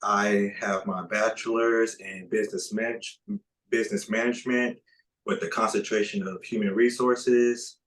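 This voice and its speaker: A man in his twenties from Pensacola, a court clerk on a panel, introducing himself slowly and plainly. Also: fairly low pitch, little pitch movement, fairly bright tone. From Pensacola, Florida. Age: 20s. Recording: roomy echo.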